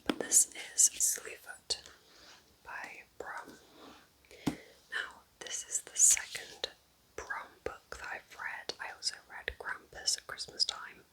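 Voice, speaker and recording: A woman whispering gently and unhurriedly in an ASMR style, rambling softly as she recommends things close to the microphone. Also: takes it slowly, rough recording. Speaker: ASMR host playing a kind witch recommending books.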